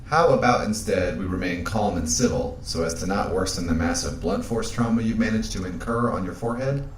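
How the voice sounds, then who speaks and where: An actor voices a calm, reserved man in a mechanical suit for a sci-fi drama. His deep voice rings with echo. He speaks formally and drily, with careful, measured wording. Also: natural voice. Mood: calm, dry. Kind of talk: science fiction audio drama. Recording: echoey, faint background noise.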